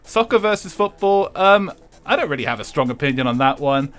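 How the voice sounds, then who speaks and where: A man's voice: fairly high pitch, expressive, fairly quick, a bit bright, smooth, soft background music. A young English man chatting casually with his viewers while streaming a racing game, swearing freely and laughing often.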